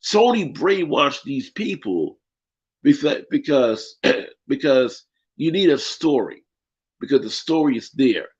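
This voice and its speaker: A man with a husky, worn-out voice hosts a gaming podcast chat, his pitch swinging up and down as he presses his point. The sound is dull and lo-fi, like call audio. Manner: emphatic, repeats himself, keeps asking "right?". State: losing his voice. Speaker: podcast host.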